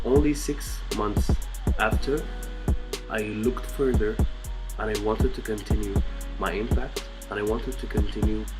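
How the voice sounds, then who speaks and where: A man in his twenties from Morocco giving an earnest, measured personal pitch, pausing between listed items. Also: poor audio. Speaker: candidate. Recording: voice over loud background music.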